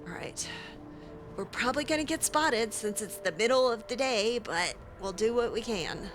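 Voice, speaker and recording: A woman's voice: a little high, lively intonation, normal speed, natural voice. Woman doing a clever sarcastic lady adventurer, chatty and opinionated game roleplay, music going behind her. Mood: earnest, indignant. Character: sarcastic, clever, determined female adventurer who hates cruelty. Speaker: let's play host, role-playing in character. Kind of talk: in-character game roleplay narration.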